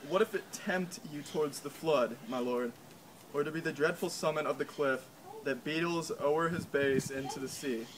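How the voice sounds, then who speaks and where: A man's voice: fairly high pitch, a bit bright, natural voice. Guy playing anxious loyal friend in a school shakespeare play, earnest and urgent, pretty noisy recording. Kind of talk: amateur stage reading of Shakespeare. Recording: clearly noisy amateur recording.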